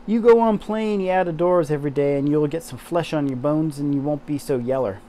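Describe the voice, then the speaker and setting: A man voicing a chatty young housemaid in an attempted Yorkshire accent that drifts toward American Southern, broad, loud and hearty. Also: on the high side, brisk, slight background noise, lightly acted.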